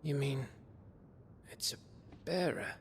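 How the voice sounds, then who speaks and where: An actor playing a rough-spoken, loyal and uneasy sidekick in a video game drama, blunt and colloquial. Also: male voice, medium pitch, takes it slowly, a little hiss or hum. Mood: uneasy, puzzled.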